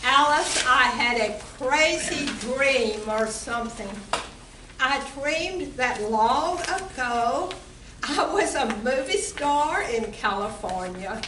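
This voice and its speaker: A dreamy barmaid with starlet airs played by a woman speaks high and slow in a theatrical radio-play manner. The recording is distant and echoey. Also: lively intonation, noisy background. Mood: dreamy, reminiscing. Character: returning barmaid Connie, dreamy faded Hollywood starlet. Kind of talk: amateur old-time radio play acting.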